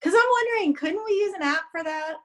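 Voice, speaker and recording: A woman with a high voice co-hosts a casual conversation. Her intonation is very lively, moving widely up and down as she chats. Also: fairly quick. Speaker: co-host. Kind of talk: casual chat.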